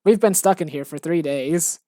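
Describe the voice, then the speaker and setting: A woman's voice: deep voice, moderate pace, warm tone, no room sound, clean studio sound. A woman chatting casually on a stream about the game she is making, rambling and self-mocking. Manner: rambling, lots of fillers and restarts, self-mocking. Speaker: game developer streaming her work.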